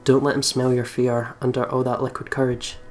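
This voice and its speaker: A man's voice: medium pitch, little pitch movement, brisk, darkish tone, slight background noise. A man hosting a let's play, casually commenting and reading story text aloud. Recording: game music behind the voice. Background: visual novel game music.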